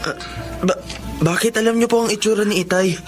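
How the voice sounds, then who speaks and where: An actor with a Filipino accent playing a respectful, kindhearted young student in a radio drama, speaking earnestly. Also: male voice, high voice, fairly even intonation, fairly quick, over music, lightly acted. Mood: polite, earnest. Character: polite, kindhearted young male college student.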